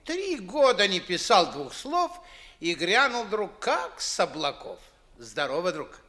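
A man's voice: sits high, big pitch swings, measured pace, sharp tone, full character voice. An actor playing an older, fussy, grumbling and suspicious father, blustering theatrically in 1950s Russian radio verse. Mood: surprised, jovial. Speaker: stage actor.

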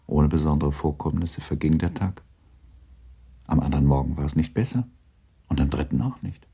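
A man narrating a literary radio drama from a script, with a husky voice. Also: rumbling low, moderate pace, dark tone, exaggerated voice.

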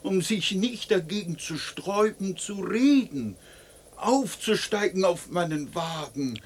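An actor playing a respectable old man, husky and courteous, pleading in a radio drama. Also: high voice, lively intonation, a little slow, full character voice. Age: older. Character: old, respectable driver courting a reluctant woman. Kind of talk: German radio drama acting.

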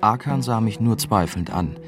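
A male actor as a young German reporter telling his own story in a radio drama. The voice is low, the narration scripted and in the first person, with music clearly underneath. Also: lively intonation, normal speed, close to an everyday voice. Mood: reflective.

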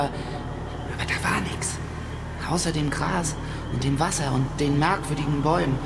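An actress playing an anxious, irritable person trapped in a strange place, in a naturalistic radio drama, hesitant and intense. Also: female voice, low voice. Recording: clear background noise.

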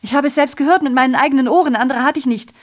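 A woman's voice: high-pitched, racing, lightly acted. An actress playing a bitterly ironic, evasive woman messenger reporting horrors in a theatrical, sardonic radio drama monologue.

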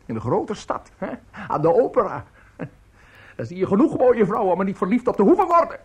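An actor playing a bitter, self-pitying, tipsy middle-aged conductor in a radio play, husky and halting as he grumbles. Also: high-pitched, wildly swooping pitch, a little mellow, full character voice. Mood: bitter, ranting. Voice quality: husky, rough. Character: bitter, drunken, self-pitying provincial conductor nearing fifty.

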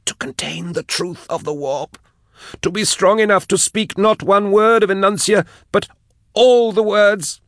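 A man voicing a tormented, devout woman captive in an audiobook, her words strained, halting and unwilling as she is forced to confess. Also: fairly bright tone, dry recording, clean studio sound. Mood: pained, pleading. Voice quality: strained, high-pitched. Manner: tight, unwilling, halting, whining. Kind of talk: dramatised audiobook dialogue.

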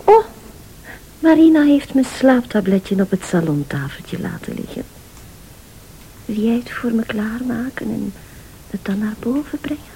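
An actress playing a weary, wounded, quietly controlling invalid wife, softly and deliberately, in a radio thriller. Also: female voice, a little high, lively intonation, slight background noise, rough recording. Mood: soothing, quietly controlling.

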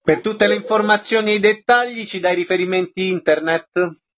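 A man's voice: sits high, moderate pace. A man hosting a radio interview, putting concise questions and introductions to guests. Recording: dull, lo-fi radio sound.

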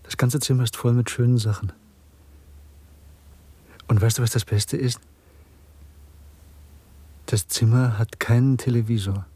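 An actor playing a guarded, quietly confiding lover in intimate radio drama dialogue. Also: male voice, medium pitch, steady tone, unhurried, pauses often, slightly rough, dry recording. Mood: confiding wonder.

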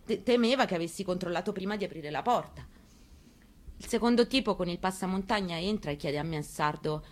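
An actress performing a first-person theatrical monologue reading, in a measured, intimate and quietly intense way. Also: female voice, fairly low pitch. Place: radio broadcast of a stage reading.

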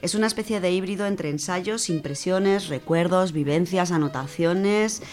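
Low-voiced Spanish woman chatting over music. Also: moderate pace, darkish tone. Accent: Spain. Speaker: radio show book segment presenter. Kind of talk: informal spoken book review.

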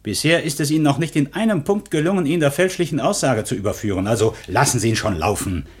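An actor playing an impatient, domineering court judge in a German crime radio drama, brusque and authoritative. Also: male voice, mid-range voice, fast talker, husky, close to an everyday voice.

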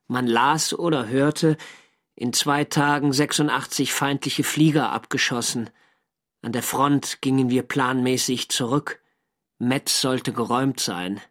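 An actor playing a First World War navy diarist, narrating in a measured, matter-of-fact literary way for a radio drama. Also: male voice, medium pitch, a bit bright, close to an everyday voice.

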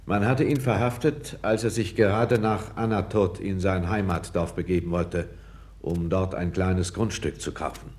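An actor playing a calm, candid foreign court councillor, speaking with measured, deferential gravity in a 1960s radio play. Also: male voice, low voice, natural voice. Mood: grave, frank. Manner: measured, grave, deferential yet frank.

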